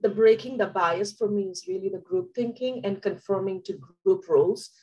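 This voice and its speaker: A woman guest on a video call, under the weather with a cough, explaining her views in a deliberate way. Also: mid-range voice, a little mellow, dull audio. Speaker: guest, tech community co-founder. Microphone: webcam on a video call.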